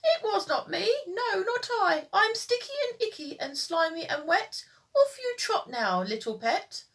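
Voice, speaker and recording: A woman voicing a slimy, dismissive slug in a children's story, with a drawn-out sing-song manner. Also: extremely high pitch, sing-song, a bit bright, voice pushed up, heavily acted, rough recording. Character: slimy slug, dismissive, shooing away a little pet.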